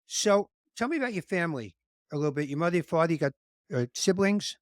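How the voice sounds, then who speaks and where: A man from New York who hosts a podcast and asks loose, rambling questions. His voice sits a little high for a man. It sounds dry and close to the mic. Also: animated, fast, dry recording. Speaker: podcast host. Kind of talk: casual interview.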